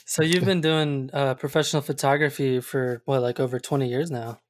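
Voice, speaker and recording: A man's voice: medium pitch, fairly even intonation, normal speed. A man hosting a podcast, reading sponsor notes and chatting casually and upbeat with a guest. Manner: upbeat, laughs easily, loose phrasing. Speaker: podcast host.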